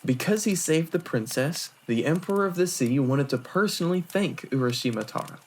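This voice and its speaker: A man's voice: fairly high pitch, lively intonation, a little fast, breathy edge. Man acting as Miroku, a theatrical flirtatious storyteller. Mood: storytelling. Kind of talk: fan audio drama acting.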